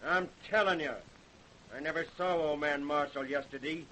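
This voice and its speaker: An actor playing a defiant, surly, rough-spoken fisherman in an old-time radio drama, curt and stubborn in his talk. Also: on the high side, fairly even intonation, moderate pace, sharp tone. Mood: sullen, stubborn. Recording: faintly noisy old radio recording.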